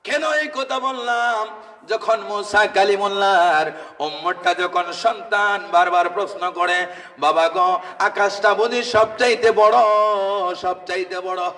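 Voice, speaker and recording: High-pitched man preaching in chant. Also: sharp tone, recorded from a distance. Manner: chanting, repetitive, exhorting with drawn-out calls. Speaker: Islamic preacher. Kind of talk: impassioned religious sermon with half-sung storytelling. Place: religious gathering, amplified from a distance.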